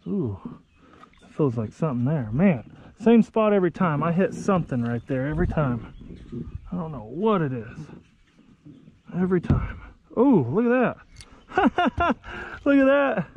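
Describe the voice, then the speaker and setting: A young American man chatting casually to viewers in a folksy, excitable way while out on the water. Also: fairly high pitch, unhurried, pauses often, breathy edge. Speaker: vlog host. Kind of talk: casual outdoor vlog chat. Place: outdoors on a kayak under a bridge. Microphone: action camera. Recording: muffled action camera audio with outdoor noise.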